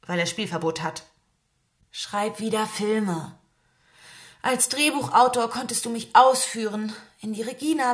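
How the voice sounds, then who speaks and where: A woman's voice: medium pitch, close to an everyday voice. An actress playing an insistent, reproachful nineteen-year-old woman in a radio drama. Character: reproachful nineteen-year-old woman, practical, pressing her older lover.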